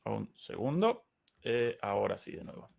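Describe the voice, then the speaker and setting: A man with an Argentine accent hosting and translating on the fly, halting and correcting himself. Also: lowish voice, very animated, vocal fry, a little mellow. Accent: Rioplatense Argentine Spanish. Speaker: host translating a clip. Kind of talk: live impromptu interpreting.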